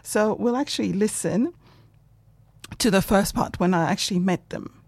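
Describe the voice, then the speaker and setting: A woman's voice: medium pitch, moderate pace, darkish tone. Friendly, hesitant woman radio host. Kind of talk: interview hosting.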